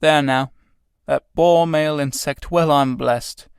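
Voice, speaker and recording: Reader doing a gruff tramp. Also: medium pitch, steady tone, measured pace, no room sound, clean studio sound. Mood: scornful. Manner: gruff, blunt, dialect-heavy. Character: coarse, grumbling old male tramp.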